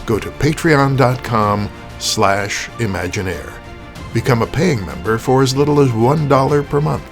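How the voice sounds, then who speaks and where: Animated male radio theater narrator. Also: mid-range voice, soft background music. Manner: rhetorical, deliberate. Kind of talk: scripted dramatic narration.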